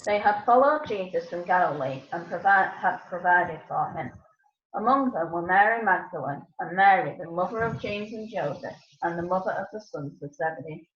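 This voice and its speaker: Casting: female narrator for scripture, slightly low voice, roomy and a little dull recording. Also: animated, normal speed, a little hiss or hum. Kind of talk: scripture reading. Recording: echoey room, slightly muffled.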